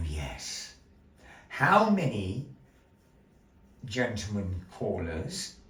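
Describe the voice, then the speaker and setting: Male stage host, playful showman patter, animated pitch, roomy distant sound. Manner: showy, grandly polite, playful. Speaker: puppet show host. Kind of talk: theatrical stage patter with a puppet fortune-teller. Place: gallery room before a live audience. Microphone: distant room mic. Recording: echoey room heard from afar.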